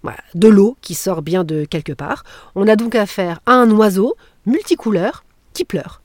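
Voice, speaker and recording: Podcast host, woman, mid-pitched, teasing scripted delivery, dry close mic. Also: lively intonation, moderate pace, no room sound. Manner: playful, jokey asides.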